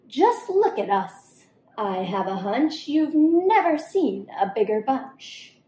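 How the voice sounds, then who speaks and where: A woman's voice: fairly high pitch, sing-song, slow, dark tone, full character voice. A woman voicing a boastful, crusty storybook crocodile with a declamatory, taunting delivery. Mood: taunting invitation. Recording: roomy indoor echo.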